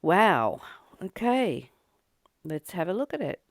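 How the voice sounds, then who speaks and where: A woman reads and reflects on spiritual text at a very slow, deliberate pace. Her voice sits a little low, with plenty of fry in it. She phrases things in measured pieces, as a host guiding a daily study. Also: very animated, no echo, studio quality. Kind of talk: reading a spiritual text aloud with commentary.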